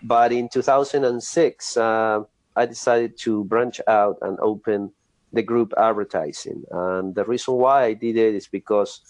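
A middle-aged man from Argentina with an Argentine Spanish accent, who speaks English as a second language and gives steady, earnest interview answers with frequent pauses. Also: lowish voice, dry recording. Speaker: guest, ad agency president.

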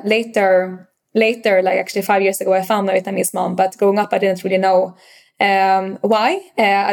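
A woman's voice: medium pitch, gravelly, darkish tone. A young woman from Sweden with a Swedish accent, a startup founder answering interview questions, hesitant, with many fillers and restarts.

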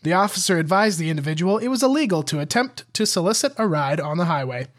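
A man's voice: high voice, lively intonation, quick pace. A young man co-hosting a podcast, joking dryly and reading short police reports aloud. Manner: dry, teasing humor, easy laughter. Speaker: podcast co-host. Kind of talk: casual chat and reading news items.

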